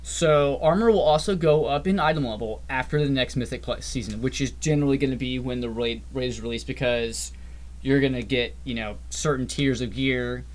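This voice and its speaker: A man's voice: medium pitch, fairly quick. A man co-hosting a podcast, explaining game patch changes in a loose, rambling way and often trailing off. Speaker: podcast co-host. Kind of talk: casual gaming chat.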